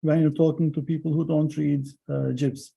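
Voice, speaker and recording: A man interviewing an academic, asking questions haltingly with many fillers. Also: fairly high pitch, flat delivery, gravelly, mellow, husky.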